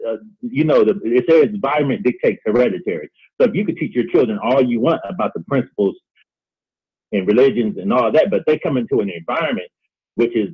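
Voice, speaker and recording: A husky-voiced man talks earnestly in an open discussion. He moves haltingly, repeating words as he builds his point, and the sound is dull and lo-fi, like a call-in line. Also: medium pitch. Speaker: guest on a call-in discussion show.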